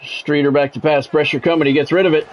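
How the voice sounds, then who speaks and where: Higher-voiced American sports announcer, noisy background. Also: male voice, level tone, fast. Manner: polished radio-announcer delivery in short clipped calls. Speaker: livestream host and sports play-by-play announcer. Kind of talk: football game play-by-play commentary mixed with casual chat to viewers.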